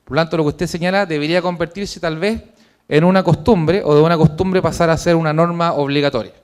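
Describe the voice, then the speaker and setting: A man presenting his own book in a formal, measured lecture to an audience in a hotel conference room. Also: a little high, steady tone, clean tone.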